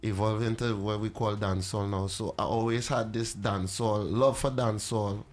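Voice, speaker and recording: A man from Trinidad with a Trinidadian accent, a musician guest in casual interview chat, telling animated stories with Caribbean slang and easy laughs. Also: lowish voice, moderate pace.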